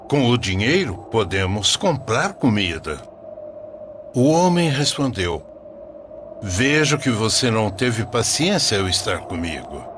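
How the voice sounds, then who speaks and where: A man narrating a scripted religious story in a solemn, measured storytelling manner. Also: medium pitch, fairly bright tone, slight background noise.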